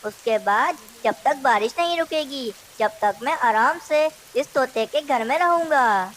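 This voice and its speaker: A sly, lazy cartoon crow acted by a woman speaks in a high voice. He is a brazen male schemer, always out to trick the other birds. A faint hiss sits behind the voice. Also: expressive, a little slow. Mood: deceitful urging. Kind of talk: Hindi children's cartoon dialogue.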